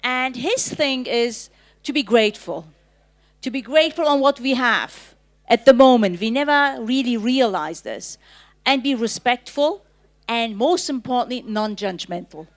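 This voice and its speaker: A woman's voice: a little high, animated, a little slow. A woman in her fifties speaking earnestly and reflectively on a panel about her pledge.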